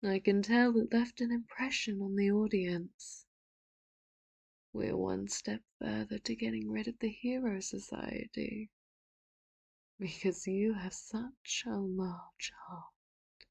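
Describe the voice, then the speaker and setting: A woman voicing a manipulative, doting villain in an ASMR audio roleplay, speaking slowly and softly with sudden sharp threats, close to the mic. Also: medium pitch, steady tone, gravelly, slightly rough, no echo, full character voice. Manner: slow, hushed, often whispered, turns sharp and loud for threats. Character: doting, manipulative villain, soft-spoken and menacing.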